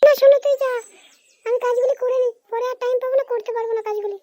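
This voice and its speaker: A woman voicing a diligent, caring schoolgirl big sister in an amateur cartoon, pitched up and earnestly insistent. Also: fairly even intonation, rapid-fire, dark tone, no echo, clean studio sound.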